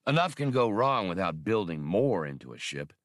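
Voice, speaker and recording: A man's voice: on the low side, big pitch swings, moderate pace, a bit bright, no room sound, studio quality. A man voicing a seasoned, easygoing ex-officer in a space adventure audiobook, spinning yarns with wry mildness. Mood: wry, reminiscing. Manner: wry, mild storytelling. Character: seasoned, easygoing male ex-officer telling old war stories.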